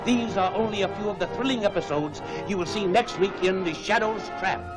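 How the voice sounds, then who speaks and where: A man's voice: sits high, a little fast, natural voice. A man playing an urgent, declamatory announcer narrating a 1940s adventure film serial trailer. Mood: urgent, dramatic. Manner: melodramatic, declamatory. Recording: vintage 1940s film soundtrack, music underneath.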